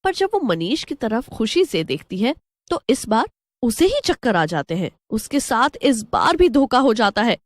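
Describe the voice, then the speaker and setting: A woman's voice: fairly high pitch, big pitch swings, normal speed, no room sound, studio quality. A woman narrating a scripted horror story as a storyteller.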